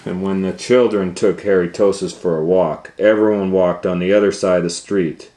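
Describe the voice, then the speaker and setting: A man reading a children's picture book aloud as a storytime host, animated and breaking off for chatty asides to the listener. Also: sits low, normal speed, slight background noise.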